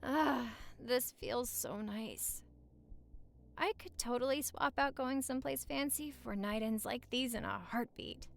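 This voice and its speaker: A woman's voice: fairly high pitch, unhurried, gravelly. A woman playing an affectionate, teasing young wife in a cozy romantic audio roleplay, bantering sweetly and conversationally. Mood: affectionate, nostalgic.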